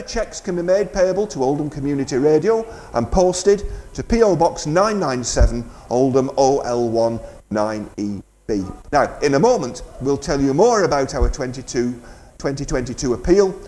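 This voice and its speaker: A man's voice: mid-range voice, sing-song, normal speed, a little mellow. A man presenting live community radio, making a fundraising announcement in an informal, hesitant, bantering way.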